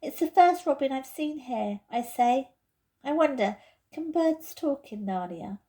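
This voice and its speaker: An earnest, impassioned young girl from a children's storybook, as a woman reader puts her on. She speaks high and emphatically, with a pleading edge and a soft tone. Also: expressive, a little slow. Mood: eager.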